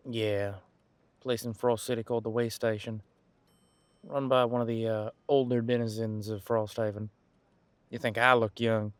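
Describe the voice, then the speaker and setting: A man playing a centuries-old, easygoing detective in an audio roleplay, talking casually and folksily as he muses aloud with pauses. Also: mid-range voice, little pitch movement, smooth, dry recording, lightly acted. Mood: musing. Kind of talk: detective roleplay audio, one side of a conversation.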